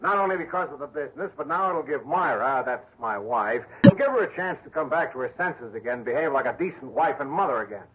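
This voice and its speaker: A man's voice: medium pitch, lively intonation, fast talker, hardly any pauses, husky. An actor playing a bitter, defensive businessman in a blustery 1960s radio drama style. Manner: blustery, indignant. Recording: dull old radio recording.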